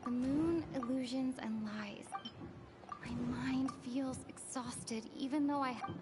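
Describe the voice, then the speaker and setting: Weary young noblewoman, slow, hushed delivery. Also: high voice, music in the background. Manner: slow, soft, partly whispered. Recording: game audio with soundtrack.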